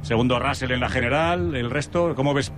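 A male host keeps a casual chat moving in a brisk, upbeat way. He talks fast, with faint outdoor noise behind him. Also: medium pitch.

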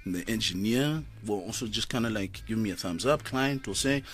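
A man's voice: fairly low pitch, fairly quick, a bit bright. A man, a voice-over artist chatting casually in a radio studio, laughing often.

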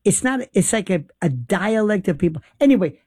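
A man hosts a casual talk, his voice mellow and warm. He is animated and halting, starting sentences over and filling gaps with "uh". The sound is dry and close. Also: sits high, breathy edge, no room sound. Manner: animated, halting, many restarts and fillers. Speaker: host. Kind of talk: casual conversation.

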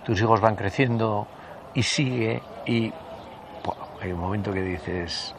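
A man in his sixties with a northern Spanish accent, reminiscing conversationally in an interview, haltingly and with repeated words. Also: fairly low pitch, noisy background. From Basque Country, Spain. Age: 60s.

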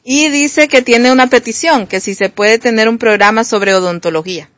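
A woman's voice: a little high, moderate pace, a bit bright, no room sound. A woman with a Honduran Spanish accent co-hosting a radio show, reading listener messages and greetings in a friendly way. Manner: friendly, reads greetings and questions.

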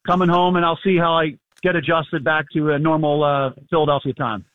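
Hoarse male phone guest, high-ish voice, phone-line quality, loose rambling delivery. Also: fairly even intonation, fairly quick, no echo. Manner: rambling, easygoing, many fillers. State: hoarse, losing his voice. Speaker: sports reporter calling in. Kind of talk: casual radio phone interview. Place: abroad, away from the studio. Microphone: phone call.

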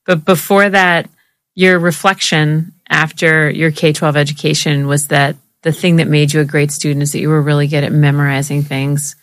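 A woman's voice: deep voice, level tone, moderate pace, dry recording. A woman hosting an interview podcast, introducing her guest and asking questions in a playful, self-deprecating way. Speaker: podcast host.

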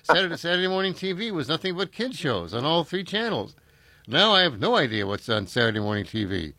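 A man's voice: on the high side, big pitch swings, a little fast, sharp tone. An older man, hosting a casual chat and reminiscing in a rambling way, full of fillers and restarts.

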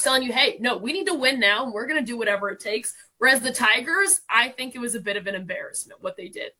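A woman's voice: on the high side, pushed and bright. A woman in her twenties giving conversational sports analysis as a radio guest, explaining things emphatically and informally. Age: 20s.

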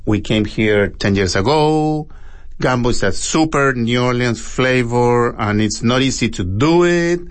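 A man from Chile with a Chilean Spanish accent, speaking halting English conversationally as an interview guest. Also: mid-range voice, animated, no echo. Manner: halting non-native English with repeated tags. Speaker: empanada shop owner, interview guest.

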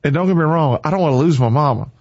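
A man's voice: fairly high pitch, racing, a little mellow, no echo. Fervent radio preacher man. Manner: fervent, preachy, repeats short commands. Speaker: morning show radio host. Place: radio studio. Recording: dry, slightly muffled studio.

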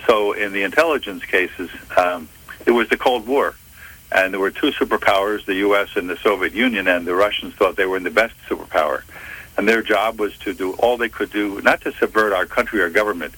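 An older man from Philadelphia, a retired FBI agent, answering interview questions in a measured way, often correcting himself. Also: fairly low pitch, bright, forceful tone, breathy edge, poor audio. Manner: measured, self-correcting storyteller. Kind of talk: interview answers, recounting career.

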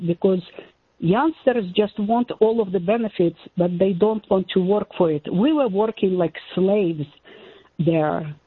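A woman's voice: medium pitch, husky. A woman from Ukraine with a Ukrainian accent, calling in to a talk show and asking her question earnestly and emphatically. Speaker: caller. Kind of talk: call-in question. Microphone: telephone. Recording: phone line.

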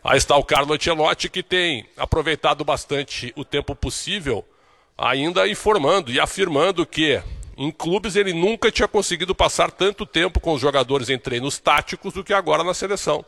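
A man from Brazil giving a recorded sports report in a steady, informative manner. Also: a little high, moderate pace, creaky.

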